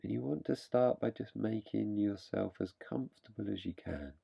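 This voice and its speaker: A man guiding a relaxation meditation in an unhurried manner, leaving long pauses between phrases. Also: on the low side, gravelly, slightly rough, dull audio. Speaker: meditation guide.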